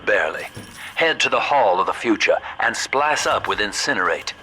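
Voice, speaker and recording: A man's voice: fairly low pitch, expressive, normal speed, music in the background, natural voice, low-quality recording. A man playing a folksy, scheming businessman with an American Southern accent, guiding the player in a video game with avuncular salesman patter. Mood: wry, pleased. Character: folksy, scheming male businessman, smooth opportunist guide. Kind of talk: video game voice acting, radio guidance.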